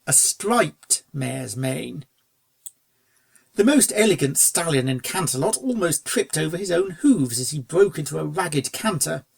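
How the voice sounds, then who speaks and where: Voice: medium pitch, lively intonation, unhurried, vocal fry. A narrator reading a scripted story aloud. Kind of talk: scripted narration of fan fiction.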